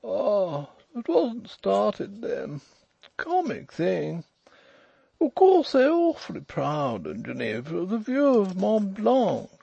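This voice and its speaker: A man voicing a languid, overbearing, lordly English gentleman with an upper-class drawl in a storybook reading. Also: sits high, big pitch swings, slow, creaky, husky, dry recording, dull audio, pitched above the performer's own voice, exaggerated voice. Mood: bored, knowing.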